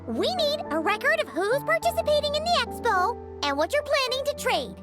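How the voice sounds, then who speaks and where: Tiny squeaky fairy sidekick girl from a game, super high and bouncy, loud music behind. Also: a little fast. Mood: businesslike, chatty. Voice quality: squeaky, childlike. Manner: exclamatory, animated. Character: small excitable childlike fairy companion, female. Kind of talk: video game character voice acting.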